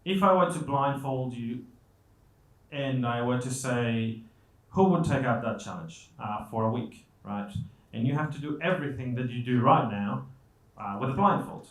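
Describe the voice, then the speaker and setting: Man from Colombia, guest speaker, conversational, rhetorical questions, self-deprecating humour, medium pitch, echoey room. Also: moderate pace. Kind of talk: conversational motivational talk to an audience. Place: indoor event stage.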